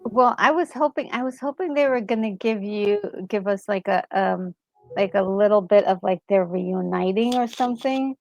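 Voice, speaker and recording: A woman co-host giving casual reactions, halting as she searches for her words, on a slightly muffled recording. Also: medium pitch, darkish tone, faint music behind. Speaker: gaming co-host.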